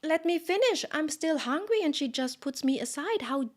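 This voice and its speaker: Woman doing a furious little boy, high and ranting, storybook tantrum. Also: expressive, moderate pace. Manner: indignant, ranting. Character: small boy, spoiled and furious.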